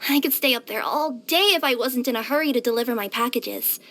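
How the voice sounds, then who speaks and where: A woman voicing a cheerful, curious young female cat yokai in a video game, with a light girlish voice and an expressive, chatty delivery. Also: on the high side, a little fast. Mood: wistful, fond. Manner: expressive, eager, chatty, wistful at times. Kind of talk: scripted game character voice-over.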